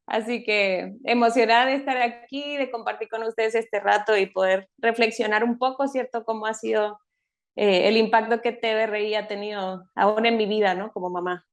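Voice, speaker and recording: A woman's voice: on the high side, fairly even intonation, moderate pace. A woman with a mixed Chilean and Mexican accent, a guest on a podcast, telling her story thoughtfully with fillers and tag questions. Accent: mix of Chilean and Mexican Spanish. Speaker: podcast guest, mother and counselor. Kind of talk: reflective interview storytelling.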